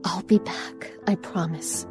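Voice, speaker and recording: An actress playing a tender, reassuring young woman in love in an intimate audio drama, with soft, breathy murmurs. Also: on the low side, fairly even intonation, takes it slowly, over music. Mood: teasing, affectionate.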